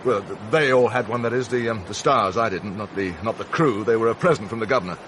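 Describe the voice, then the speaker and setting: An actor playing a polite, slightly nervy British assistant film director in a radio mystery drama. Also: male voice, fairly low pitch, quick pace, background noise, lightly acted. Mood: hesitant.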